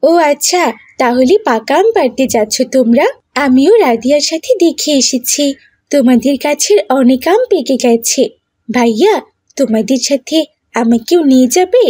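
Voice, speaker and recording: A mischievous young cartoon girl voiced by a woman, high and husky, always wheedling and plotting. She cajoles and bosses people around like a spoiled village schemer, in a dry, close recording. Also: animated, normal speed, mellow, dry recording. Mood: eager, wheedling.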